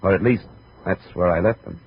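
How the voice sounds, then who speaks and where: An actor playing a wry, hard-boiled American investigator, clipped and world-weary, in 1950s radio noir style. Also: male voice, low voice, fairly quick, a little hiss or hum, natural voice. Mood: cool, probing. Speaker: lead actor and narrator of a radio detective series. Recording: dull vintage radio recording with music.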